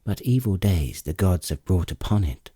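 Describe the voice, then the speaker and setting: A man voicing a thoughtful, mournful young prince, grave and measured, in an epic audiobook reading. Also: low-pitched, lively intonation, dark tone, breathy edge, dry recording, studio quality. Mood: grave, lamenting.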